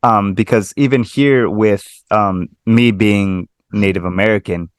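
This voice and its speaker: A man hosting a casual podcast interview, relaxed and agreeable, using filler words as he talks. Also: fairly low pitch, a little slow, smooth, no room sound, clean studio sound. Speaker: podcast host.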